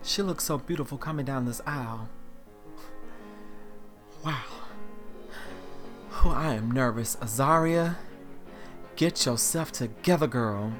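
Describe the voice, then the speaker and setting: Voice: medium pitch, slow, long pauses, natural voice. A performer voicing a confident woman in a tuxedo, awestruck and dreamy, with faint music behind.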